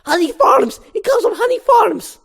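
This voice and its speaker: A sneaky, blustering cartoon man, voiced by a woman. The voice sits higher than natural, with an evasive, overplayed delivery, like a small-time crook caught out. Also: lively intonation, moderate pace, vocal fry. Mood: frightened. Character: blustering, sneaky man cornered by a talking bee. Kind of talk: animated film script read-through.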